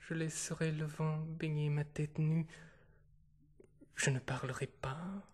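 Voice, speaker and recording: A reader reciting a poem in a hushed, half-whispered delivery. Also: medium pitch, little pitch movement, slow pace.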